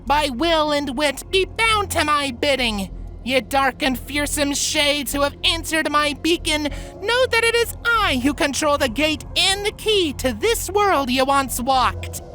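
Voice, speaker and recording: A man's voice: extremely high pitch, expressive, measured pace, sharp tone, faint music behind, voice pushed up, heavily acted. A man voicing a nervous young unicorn mare who tries a grand archaic incantation and falters with fillers. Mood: solemn.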